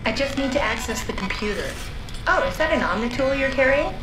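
A woman acting a brisk, matter-of-fact scientist living as a robot in a video game. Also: high voice, expressive, echoey room, music in the background, rough recording. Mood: businesslike.

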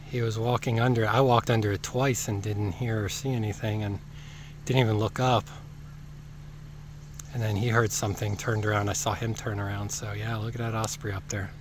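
A man's voice: on the low side, little pitch movement, moderate pace, a little hiss or hum. A middle-aged man casually narrating a hike outdoors, chatty and easygoing, reading signs aloud as he walks. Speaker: hiking vlogger. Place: outdoors on a farm by a bay.

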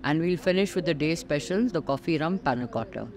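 A middle-aged woman with an Indian English accent reads a travel and food voice-over. Her delivery is measured and descriptive, and she sounds enthusiastic about the dishes. Background music plays under her voice throughout. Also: low voice, animated, a little mellow. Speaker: food vlogger host. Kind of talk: scripted voice-over narration of a restaurant visit. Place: voice-over recorded separately from the restaurant scenes. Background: background music under the narration.

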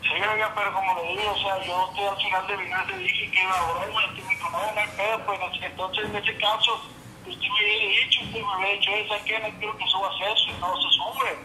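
A man's voice: high voice, level tone, fast talker, bright, forceful tone, reverberant, a little hiss or hum, low-quality recording. Defensive man on speakerphone. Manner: defensive, explaining himself. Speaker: prank target on the phone.

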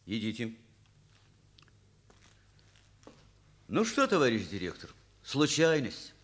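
An actor playing a sceptical, principled police officer with a dry, ironic, questioning manner, in a Soviet radio drama. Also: male voice, mid-range voice, huge pitch swings, moderate pace, fairly bright tone.

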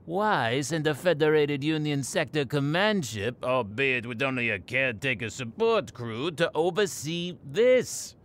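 Man playing a slow, haughty military commander. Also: a little high, expressive, fairly bright tone. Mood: scornful. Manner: disdainful, weary, deliberate. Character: bored, haughty military commander, mid-fifties, humanoid alien. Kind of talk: science-fiction audio drama acting. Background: music.

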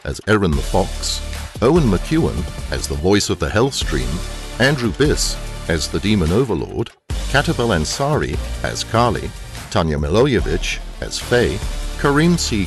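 A man's voice: low-pitched, big pitch swings, a little slow, over music. A man reading the end credits of an audio drama as a scripted announcer. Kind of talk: scripted narration.

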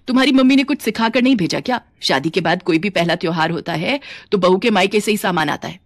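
A woman's voice: medium pitch, fast talker, no echo, clean studio sound, natural voice. A woman voicing a demanding middle-aged mother-in-law with a stern, instructing manner in a family story.

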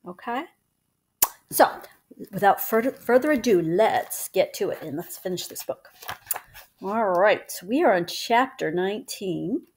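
A woman in her forties reads a children's story aloud like a teacher. Her pitch moves in a lively way, and her delivery stays conversational, recorded on a webcam in a small room at home. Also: unhurried, slight background noise. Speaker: teacher reading a book aloud. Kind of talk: children's book read-aloud.

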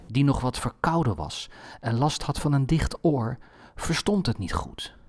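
Male storyteller, unhurried pace, mid-pitched, measured scripted delivery for a fairy-tale audiobook. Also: a little mellow, husky.

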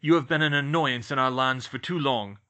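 Man voicing a nasty, forceful angry centaur. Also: mid-range voice, normal speed, natural voice. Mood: hostile, menacing. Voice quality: nasty, menacing tone. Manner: stern, formal, imperious speech. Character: angry, haughty male centaur, hostile to humans. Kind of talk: audiobook character voice.

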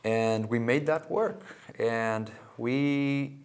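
A man in his thirties, from Russia and Israel, answering questions as a guest in a TV studio interview, halting with many fillers and restarts. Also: medium pitch, smooth. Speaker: club president and guest. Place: small TV studio.

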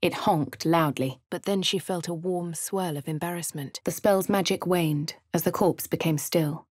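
An actress with a posh English accent voicing a composed female adventurer, reading game narration and voice lines in a crisp, dry manner. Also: on the low side, moderate pace, a little mellow, no room sound. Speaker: voice of the player character.

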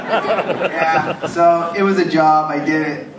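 A man moderating a convention panel, quick and joking. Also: on the high side, fairly even intonation. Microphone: handheld mic, far camera. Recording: echoey hall, distant camera, loud noise.

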